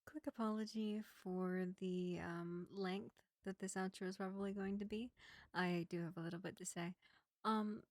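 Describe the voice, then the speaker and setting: Woman chatting to her followers, soft n mellow, bit hesitant, dry audio. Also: mid-range voice, no echo. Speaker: audio roleplay creator. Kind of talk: casual outro talk to followers.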